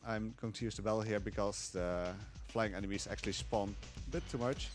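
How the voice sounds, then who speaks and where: A man explaining his speedrun live in a relaxed, chuckling way, with a casual tone. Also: on the low side, brisk, music in the background. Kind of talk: casual live gameplay commentary.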